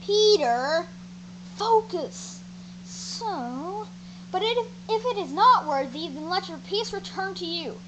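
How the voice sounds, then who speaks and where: A woman's voice: extremely high pitch, animated, slow, background noise, exaggerated voice. A woman playing Jesus as a friendly teacher in an amateur Bible audio drama, reciting scripture with chatty asides. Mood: instructing. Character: Jesus, a male teacher, friendly and jokey with disciples.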